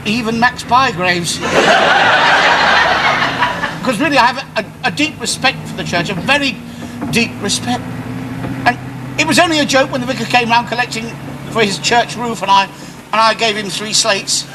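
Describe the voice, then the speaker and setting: A man's voice: very high-pitched, normal speed, long pauses. A man with an English accent playing a bumbling suburban husband in a TV sitcom, hesitant and theatrically flustered. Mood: dazed, pleading. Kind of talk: British TV sitcom acting. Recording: very noisy old TV recording.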